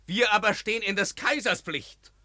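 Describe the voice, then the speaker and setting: A stern, loyal soldier. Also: male voice, high-pitched, moderate pace, sharp tone, no echo, clean studio sound. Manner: stern, admonishing. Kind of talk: classic verse radio play.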